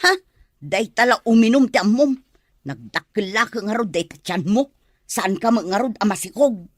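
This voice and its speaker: A woman's voice: medium pitch, lively intonation, moderate pace, dry recording, clean studio sound, natural voice. A woman with an Ilocano accent playing a nagging, defensive, hard-pressed mother in a radio drama, combative and scolding.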